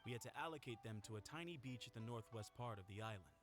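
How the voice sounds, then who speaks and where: A man plays a courteous festival official in a video game. He speaks rapidly and matter-of-factly. Music is clearly audible underneath. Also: mid-range voice, lively intonation, lightly acted. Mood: apologetic. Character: polite, matter-of-fact male festival official.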